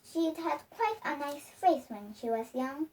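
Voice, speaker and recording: Young female child reader, high voice, halting storybook delivery, picked up from a distance. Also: expressive, darkish tone. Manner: careful, halting reading with misreadings. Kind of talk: storybook read-aloud. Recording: distant microphone.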